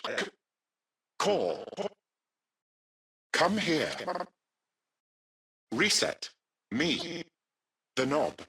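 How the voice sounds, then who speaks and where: A man voicing a damaged, virus-stricken artificial intelligence in a video game, raspy, halting and pleading in fragments. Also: fairly high pitch, pushed and bright, slight background noise, exaggerated voice. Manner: halting, fragmented words and short phrases.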